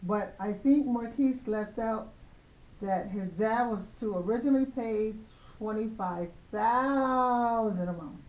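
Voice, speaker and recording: A slightly hoarse woman hosting a show of casual opinion commentary on celebrity news, speaking in an emphatic, admonishing way. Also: fairly high pitch, slow pace, darkish tone. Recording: roomy, echoing, dull lo-fi sound.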